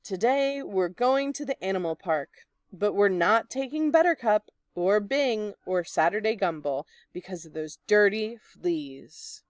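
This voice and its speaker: A woman's voice: mid-range voice, expressive, unhurried, no echo, studio quality, natural voice. Woman playing a sour, stern male schoolteacher. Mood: bossy. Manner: stern, disdainful. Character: sour, frowning male schoolteacher, disapproving of mammoths.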